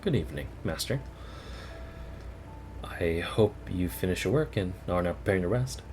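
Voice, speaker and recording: A man voicing a polite, devoted young maid in a gentle, formal way, in a game story. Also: sits low, animated, moderate pace, music in the background. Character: soft-spoken, devoted young woman maid, polite and deferential.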